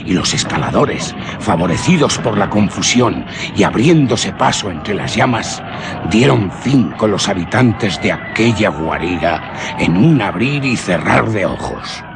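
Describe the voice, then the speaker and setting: A man's voice: fairly low pitch, sing-song, a little slow, background noise. A man narrating a gothic horror tale for radio drama in a solemn, theatrical, literary manner.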